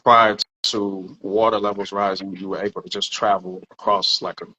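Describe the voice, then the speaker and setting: A man with a fairly low voice talks through his ideas on a podcast in an earnest, explanatory way, swearing now and then. The sound is a bit muffled and lo-fi. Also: level tone, normal speed, sharp tone, slightly rough. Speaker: podcast co-host. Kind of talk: casual podcast discussion.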